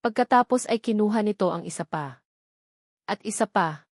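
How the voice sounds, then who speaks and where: A woman narrates a dramatic audiobook story from a script. Her voice sits at a middle pitch, and the sound is dry, with no room around it. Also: lively intonation, moderate pace, darkish tone, dry recording.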